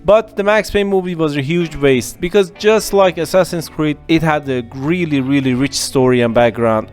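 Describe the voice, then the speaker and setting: A man talking on his own podcast in a casual, opinionated monologue. His voice sits a bit high for a man, and his delivery is animated, with pauses and small restarts. Faint guitar music plays softly underneath. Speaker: podcast host.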